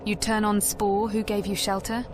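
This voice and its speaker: A woman's voice: mid-range voice, little pitch movement, moderate pace, background noise, natural voice. An actress playing a defiant, plain-spoken female adventurer in a fantasy video game. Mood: indignant.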